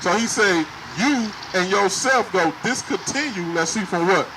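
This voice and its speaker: Male street preacher, emphatic and confrontational, raised high voice, recorded on a noisy city street. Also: expressive, a little slow. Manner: loud, emphatic, questions passersby.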